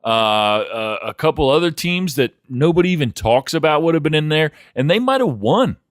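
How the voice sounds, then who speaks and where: A man's voice: on the high side, sing-song, normal speed, no echo, studio quality. A man co-hosting a casual sports chat, speaking bluntly and opinionatedly with plenty of swearing. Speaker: sports podcast co-host.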